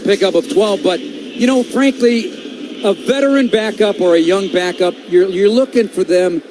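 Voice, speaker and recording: A man's voice: high-pitched, normal speed, noisy background. An American man calling football play-by-play live on the radio. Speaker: play-by-play announcer.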